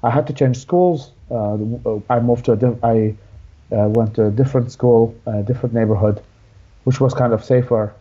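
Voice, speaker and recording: A young man from Iraq, an interview guest telling his life story in a halting way with many restarts. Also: mid-range voice, mellow, highs cut off.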